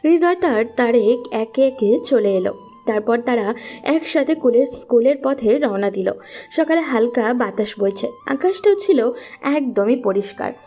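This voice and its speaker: A woman's voice: on the high side, dark tone. A woman narrating a scripted romantic story as its storyteller.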